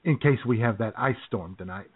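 A man's voice: lowish voice, fairly quick, slightly rough. A man chatting casually as a talk show co-host, with a laid-back, hesitant, rambling manner. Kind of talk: casual chat and banter. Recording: thin phone-line sound.

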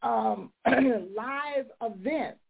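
A woman talk show host preaching with fervor, her voice slow, husky and congested from illness. She comes through a thin, tinny phone line. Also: on the high side. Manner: fervent, decreeing, rising to preaching fervor. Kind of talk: faith-based radio talk, preaching.

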